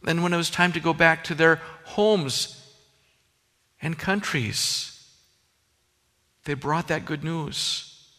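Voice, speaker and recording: Pastor, male, Minnesota accent, bright and slightly high voice, earnest conversational sermon, reverberant hall. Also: takes it slowly, pauses often. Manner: earnest, conversational preaching with personal asides.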